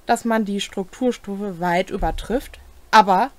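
A young woman reads game rules aloud at a slow, deliberate pace. She sounds close and dry, going through items like a list, with the odd short comment. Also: mid-range voice, no room sound. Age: young adult. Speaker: co-host explaining tabletop game rules. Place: small home room, indoors.

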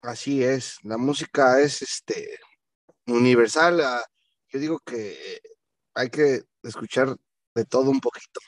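A man talking on a video call, easygoing and slangy, dropping English phrases into his stories. His voice sits at an ordinary pitch and sounds dry and close, with no room around it. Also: a little slow, no room sound. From Mexico. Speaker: band vocalist, guest. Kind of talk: casual storytelling in an interview.

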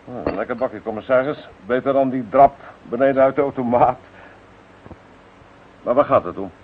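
An actor playing a plain-spoken, stubborn police inspector in a radio play, matter-of-fact and colloquial. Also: male voice, mid-range voice, moderate pace, slightly rough, close to an everyday voice. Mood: dry, curious. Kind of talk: Dutch radio play acting. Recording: thin narrow-band radio recording with hiss.

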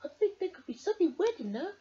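Little storybook girl, played by the reader, high voice, cheerful, caring, childlike, lively swoops, dull audio. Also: fast talker. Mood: eager.

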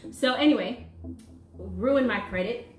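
A woman's voice: fairly high pitch, a little slow, a little hiss or hum. A woman in her thirties who was raised in the United States, co-hosting a couple's vlog and telling personal stories with frequent laughter, recorded at a desk microphone in a small room at home. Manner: laughs often, lively storyteller. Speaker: co-host of a married couple's vlog. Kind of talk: casual chat and personal storytelling. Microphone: desk condenser mic, wearing headphones. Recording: echoey room.

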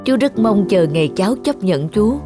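An actor voicing a kind, earnest young man in a cartoon, with a gentle manner. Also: mid-range voice, animated, moderate pace, lightly acted. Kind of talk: cartoon voice acting. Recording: music clearly behind the voice.

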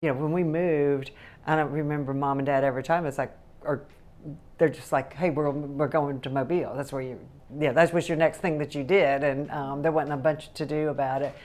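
Chatty woman with low voice. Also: steady tone, fairly quick, darkish tone. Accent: faint Southern US. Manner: rambling, chatty, laughs often. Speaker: interviewee. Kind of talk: casual recollection. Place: home kitchen, indoors.

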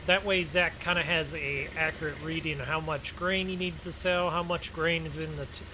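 Vehicle noise runs clearly behind a man with a somewhat high-pitched voice. He hosts a farming game video as casual roleplay. His delivery is chatty and folksy, as if talking viewers through the work. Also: fairly even intonation, normal speed. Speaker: gaming video host. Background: game vehicle engine sounds.

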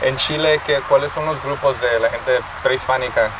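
A man in his thirties with a Mexican accent, hosting a relaxed interview and switching between English and Spanish. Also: mid-range voice, normal speed. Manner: relaxed, switches languages mid-sentence. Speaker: host. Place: outdoor park under a tree, city. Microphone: distant camera mic. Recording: distant mic, city traffic noise.

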